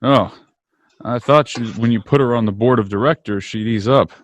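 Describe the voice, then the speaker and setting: A man's voice: medium pitch, normal speed, no echo, lo-fi sound, lightly acted. A man playing an eager, folksy young man in an old-time radio play. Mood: concerned. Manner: folksy, earnest. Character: eager, boyish young man leaving for college.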